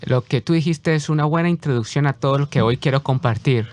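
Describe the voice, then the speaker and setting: A man interpreting a guest preacher's sermon for a congregation, speaking haltingly with frequent fillers. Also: mid-range voice. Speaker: church interpreter.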